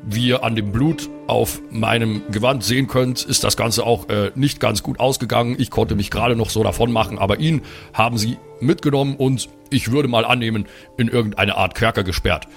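A man playing an earnest, worried elder-brother cleric in an improvised tabletop audio play, speaking politely and haltingly as he pleads. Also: medium pitch, vocal fry, soft background music, close to an everyday voice. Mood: worried, explaining.

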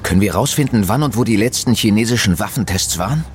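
Deep-voiced worried mission control man, acted out. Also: lively intonation, fast, over music, natural voice. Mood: dread. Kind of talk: audio drama acting.

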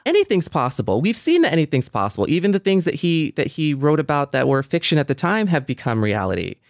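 A man's voice: on the high side, huge pitch swings, quick pace, no room sound. Male podcast co-host, dry close sound. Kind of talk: conversational podcast hosting.

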